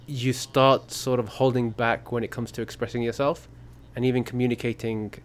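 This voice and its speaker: A man with an everyday middle-pitched voice. He talks in an easygoing, thoughtful way, telling small stories in relaxed conversation. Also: moderate pace. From United Kingdom. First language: English. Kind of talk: casual podcast chat.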